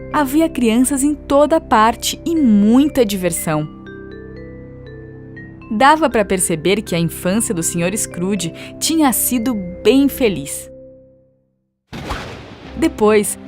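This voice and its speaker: A woman's voice: mid-range voice, darkish tone. A woman with a Brazilian accent narrating a scripted children's story. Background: background music.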